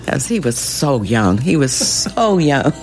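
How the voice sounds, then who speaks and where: A woman recalling an early meeting in an interview, in a slow, amused way. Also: extremely low pitch, sing-song, music in the background. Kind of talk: interview recollection.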